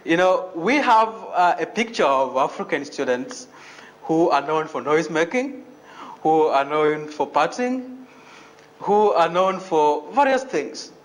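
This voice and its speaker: A young man from Uganda speaks in a measured, careful way and often pauses on "you know" while he answers questions. His voice sits a little higher than most men's. He talks as a student leader in a panel interview. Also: animated. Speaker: student association president.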